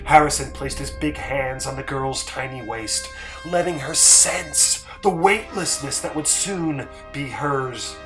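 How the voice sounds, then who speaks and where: A man giving a dramatic, scripted story reading as narrator, measured and emphatic. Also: mid-range voice. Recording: music bed under the voice.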